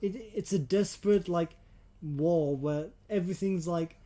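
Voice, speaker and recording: A man chats casually as a podcast co-host. His voice sits a little high for a man and has a soft, mellow tone. He speaks hesitantly, repeating himself as he works toward his point. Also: unhurried.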